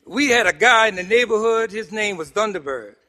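A middle-aged or older man with a New York accent, giving an animated, humorous personal testimony to a group, with emphatic pauses and repeated phrases. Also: high-pitched. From Harlem, New York City, United States. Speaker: speaker at a recovery meeting. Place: meeting hall, speaking to a group. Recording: echoey hall with long reverb.

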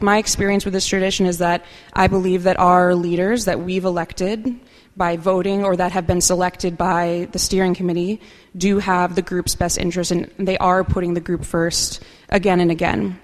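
A woman speaking softly and unhurriedly at a recovery meeting, sharing her own experience with many fillers. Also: on the low side, long reverb tail. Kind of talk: personal share at a meeting.

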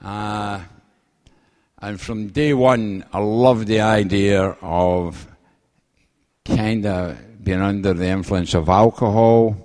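An older man with a Glasgow-area Scottish accent giving a personal testimony to a gathering, halting and plain-spoken with dry humour, speaking into an event microphone. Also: on the low side, fairly even intonation, darkish tone. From Scotland. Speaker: guest main speaker.

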